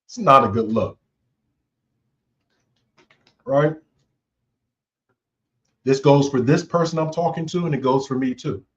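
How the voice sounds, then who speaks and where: A male host talks through workplace conflict in a relaxed, conversational way, tagging his points with "right?". He speaks slowly, in a mid-range voice. The recording sounds a little dull and muffled. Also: medium pitch. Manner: conversational, repeats "right?" often. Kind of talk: casual talk on leadership.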